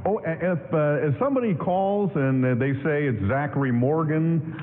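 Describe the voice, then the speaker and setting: A man playing a shy, anxious soldier with a halting, apologetic manner in a 1940s-style radio drama. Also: close to an everyday voice. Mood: awkward, hesitant. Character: shy, anxious wounded soldier on furlough, gentle and awkward. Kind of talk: 1940s-style radio drama acting before a live audience. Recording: boomy hall, narrow AM radio sound, music.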